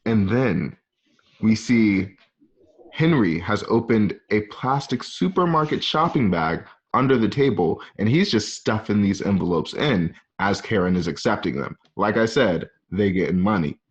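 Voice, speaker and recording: Low-voiced man narrating scenes, muffled audio. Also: animated, breathy edge. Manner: halting, casual, present-tense scene description. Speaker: narrator reading stage directions. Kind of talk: informal script narration. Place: at home. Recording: dull, lo-fi home recording.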